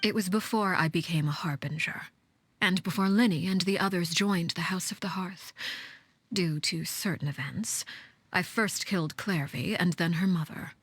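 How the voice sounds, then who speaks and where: An actress playing a cold, menacing woman leader in a video game, speaking in a measured, formal, commanding way with a breathy hush. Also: fairly low pitch, no echo. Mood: grave, detached. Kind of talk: scripted video game voice acting.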